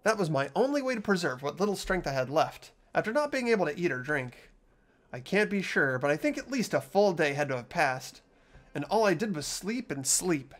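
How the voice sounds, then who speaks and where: A man's voice: a little high, very animated, brisk. A man hosting a game playthrough, reading narration and chatting casually with friends.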